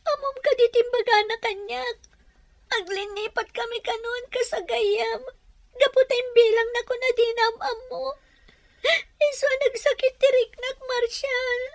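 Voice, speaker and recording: A woman's voice: extremely high pitch, steady tone, a little slow, dry recording. An actress playing a hurt, pleading young woman in a radio drama, earnest and plaintive. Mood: tearful, hurt. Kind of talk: radio serial drama acting.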